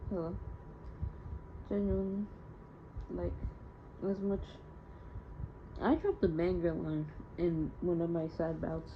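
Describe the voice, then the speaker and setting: A woman's voice: on the low side, steady tone, slow, long pauses. A young woman recording a casual, confessional audio diary, rambling candidly in slangy speech and sounding hurt and downcast. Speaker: audio diarist. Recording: muffled lo-fi home recording, faint noise.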